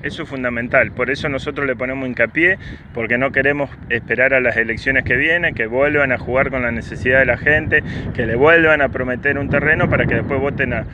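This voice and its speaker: A man's voice: medium pitch, steady tone, fairly quick, pushed and bright. A man in his forties with an Argentine accent, a city councillor speaking earnestly and insistently in an outdoor interview, often restarting his phrases. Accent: Argentine Spanish. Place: open field by a paved street, outdoors. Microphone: camera at close range. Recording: outdoor wind and traffic noise.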